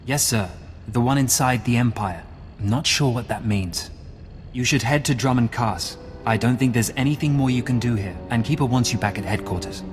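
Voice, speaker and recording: An actor playing an earnest, eager field agent giving a brisk report. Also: male voice, mid-range voice, long reverb tail, faint music behind, natural voice.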